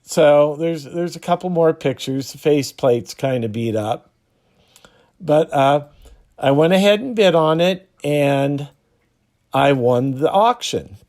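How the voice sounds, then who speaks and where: A man hosting a hobby video, explaining casually and unscripted, with halting pauses mid-sentence. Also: on the high side, lively intonation, darkish tone.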